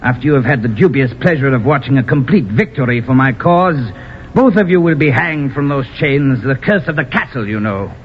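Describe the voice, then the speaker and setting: Muffled old-radio schemer, formal and smooth actor's performance. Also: male voice, medium pitch, lively intonation, close to an everyday voice. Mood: smug, menacing. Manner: formal, measured, theatrical. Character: smooth scheming villager leader, secretly a traitor. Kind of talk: old-time radio drama acting. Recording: muffled old radio recording, faint noise.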